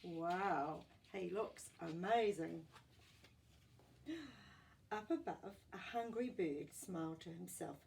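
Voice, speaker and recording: A woman reading a picture book aloud to children, expressive and exclamatory, with chatty asides to her young listeners. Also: lowish voice, slow, creaky, pauses often, distant mic, a little hiss or hum. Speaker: children's storyteller.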